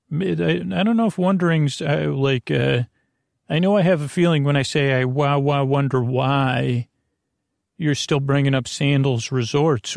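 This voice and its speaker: An American man hosting a bedtime podcast, rambling in a soothing, creaky voice with hesitant, meandering repetitions. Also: medium pitch, darkish tone, dry recording, clean studio sound. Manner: hesitant, meandering, repeats himself; lulling, soothing. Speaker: podcast host.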